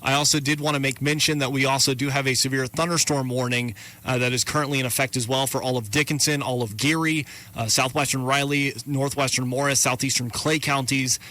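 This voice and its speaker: A man with a bright, forceful voice of middling pitch hosts live radio storm coverage. He delivers warnings, lists of places and safety instructions in a matter-of-fact but urgent manner. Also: fairly even intonation, brisk. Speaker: radio weather coverage host. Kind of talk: live severe weather warning broadcast.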